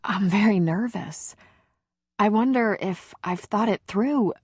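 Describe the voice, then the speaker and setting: A woman voicing a nervous, smitten, soft-spoken woman with a breathy, hesitant manner, in a romance audiobook. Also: medium pitch, takes it slowly, dry recording, clean studio sound, pitched above the performer's own voice.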